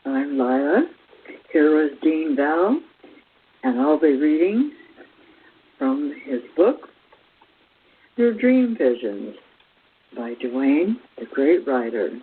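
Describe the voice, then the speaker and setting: A woman hosting and reading a spiritual book aloud in a deliberate, halting way, stumbling here and there. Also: sits low, very animated, husky. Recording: thin phone-like radio audio.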